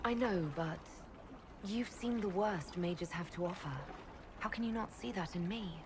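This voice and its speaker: A woman playing a composed, caring mage who leads a fantasy order, speaking in a measured, sincere way in a dramatic video game. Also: mid-range voice, noisy background, natural voice. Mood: questioning, doubtful.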